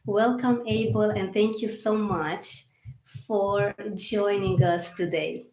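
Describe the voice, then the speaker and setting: A woman's voice: mid-range voice, fairly even intonation, takes it slowly, darkish tone. A woman hosting a podcast interview, speaking earnestly with effusive praise for her guest. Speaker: podcast host. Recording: echoey room.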